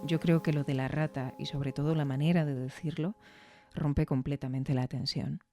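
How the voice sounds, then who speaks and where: A podcast host giving a reflective spoken analysis, measured and didactic, often checking in with questions. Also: mid-range voice, steady tone.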